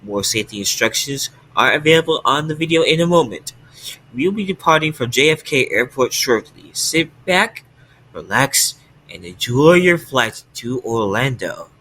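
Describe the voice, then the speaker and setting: A man's voice: medium pitch, animated, normal speed, bright, forceful tone, a little hiss or hum, rough recording. A man's computer voice playing an airline cabin announcer who reads safety instructions in a stiff synthetic way. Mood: instructive.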